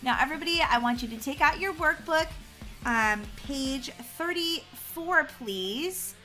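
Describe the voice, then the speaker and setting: A woman's voice: high-pitched, expressive, pushed and bright, heavily acted. Woman playing a firm, patient schoolteacher. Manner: measured, instructing. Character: patient but firm female math teacher.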